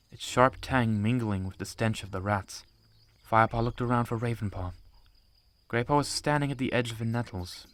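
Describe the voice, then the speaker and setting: Low-voiced man narrating. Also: a bit bright. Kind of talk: scripted audiobook narration.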